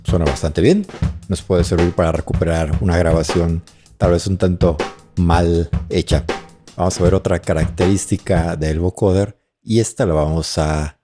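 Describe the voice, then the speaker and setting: Deep voice guy explaining mixing software step by step, thinking out loud, drums and music playing behind him. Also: steady tone, moderate pace. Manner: explanatory, thinking aloud while demonstrating. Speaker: audio mixing tutorial instructor. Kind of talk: informal spoken software tutorial. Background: drum and bass tracks playing during demonstration.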